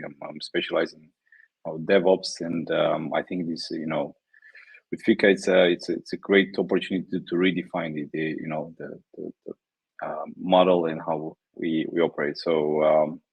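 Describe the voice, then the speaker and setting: A man from Romania, a consultant, introducing himself slowly over a video call with many pauses and fillers. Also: sits low, creaky, husky, no echo. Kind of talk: casual self-introduction. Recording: dull dry video-call audio.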